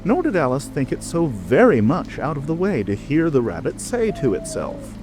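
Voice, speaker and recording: A man narrating a children's storybook in an expressive, dramatic scripted reading. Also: on the high side, moderate pace, rough recording. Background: music.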